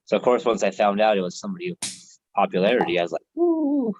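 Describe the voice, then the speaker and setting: A man's voice: fairly low pitch, huge pitch swings, fast talker, a little mellow, muffled recording, a little hiss or hum. An American man chatting casually on a live video call, laid-back, laughing often and swearing freely. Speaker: host.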